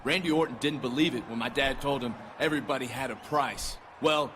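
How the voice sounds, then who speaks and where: An actor playing a sneering spoiled wrestler. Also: male voice, mid-range voice, fairly quick, a bit bright, slight background noise, lightly acted. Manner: sneering, gloating. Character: spoiled young male wrestler, sneering heir.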